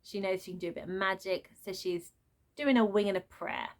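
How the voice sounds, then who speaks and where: A woman giving an unscripted book review as a rant, with an animated, sarcastic way of talking. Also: medium pitch, a little fast. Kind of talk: unscripted book rant with read-aloud excerpts.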